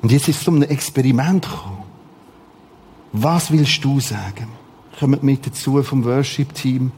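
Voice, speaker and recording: A man with a Swiss German accent preaching a sermon in short, repeated, emphatic phrases, with a husky voice. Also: medium pitch, normal speed, darkish tone, a little hiss or hum.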